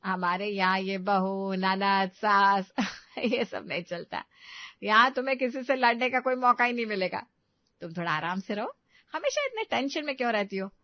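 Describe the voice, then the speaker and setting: A woman's voice: mid-range voice, animated, moderate pace, no echo, dull audio, natural voice. A woman voicing an affectionate, firm older mother-in-law in a family story, bossy but loving. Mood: reassuring.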